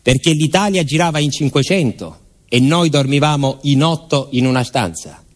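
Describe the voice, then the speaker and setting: A man from southern Italy narrating a personal theatre monologue in deliberate short phrases with emphatic repetition. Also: a little high, animated. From Salento, southern Italy. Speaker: stage narrator and actor.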